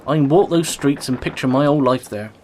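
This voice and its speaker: A man's voice: mid-range voice, fairly quick, a little mellow, a little hiss or hum, natural voice. An actor as a sincere, loyal Cornish fisherman. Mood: fond, wistful. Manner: sincere, plain-spoken. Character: loyal, sentimental Cornish fisherman, peacemaker of the crew. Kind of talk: radio play acting.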